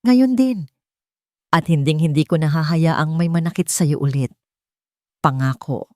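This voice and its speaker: A woman acts out a powerful, wealthy Filipino-accented gentleman in a love drama. He is earnest, emotional and protective of the one he loves. The sound is mellow and dry. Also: low voice, unhurried, no room sound. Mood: determined. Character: powerful wealthy man, remorseful, devoted and protective lover.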